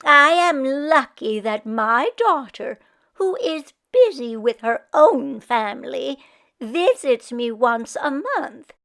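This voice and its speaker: A woman's voice: sits high, big pitch swings, slow pace, a bit bright, heavily acted. A woman voicing an older woman, a dramatic, exclamatory kvetch from a storybook. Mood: grateful. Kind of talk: storybook character voice.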